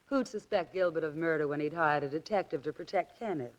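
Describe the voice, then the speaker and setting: An actress playing a cool, scornful accomplice to murder in a 1940s radio drama, with an arch, taunting manner. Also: female voice, sits low, fairly quick, natural voice, low-quality recording. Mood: smug, taunting.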